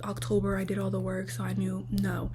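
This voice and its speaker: A woman vlogger with a raspy, slightly worn voice, chatting casually and rambling with lots of fillers. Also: mid-range voice, completely flat delivery, brisk, background noise. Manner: rambling, lots of "like", talks to viewers directly. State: losing her voice. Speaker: lifestyle vlogger.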